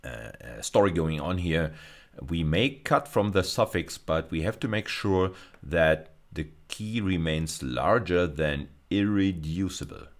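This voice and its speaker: A man's voice: sits low, expressive, unhurried. A middle-aged man with a German accent giving a recorded university lecture, explaining step by step with frequent fillers.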